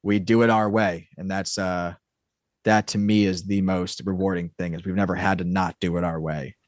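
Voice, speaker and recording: A man talking casually as an interview guest, rambling and halting as he thinks aloud. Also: low-pitched, level tone, no echo. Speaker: podcast guest, audio drama creator.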